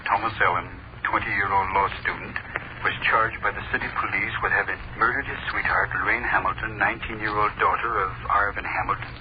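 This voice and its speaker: An actor playing an announcer formally reading a court notice in a 1930s radio drama. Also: male voice, on the low side, close to an everyday voice. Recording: dull, noisy 1930s radio recording.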